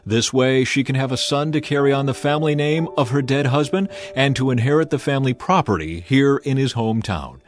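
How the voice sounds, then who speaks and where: A man's voice: medium pitch, fairly quick, hardly any pauses, close to an everyday voice. A man voicing a kind, decisive, honorable landowner with emphatic, formal speech in a Bible story. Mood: firm, formal.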